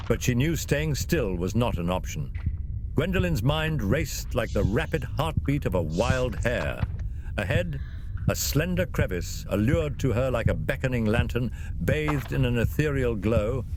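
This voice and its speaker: A man reads a fantasy adventure as its narrator. His medium-pitched voice swings expressively, with a theatrical, suspenseful delivery. Clear ambient noise runs behind him. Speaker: storyteller, narrator. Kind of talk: dramatic scripted fantasy narration.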